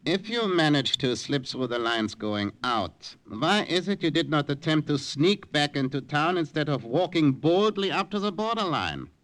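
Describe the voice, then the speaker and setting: An actor playing a stern, condescending German commandant in a radio drama, questioning in an officious, deliberate way. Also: male voice, on the high side, expressive, fairly bright tone, dry recording. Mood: grudging, probing. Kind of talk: 1950s radio drama acting.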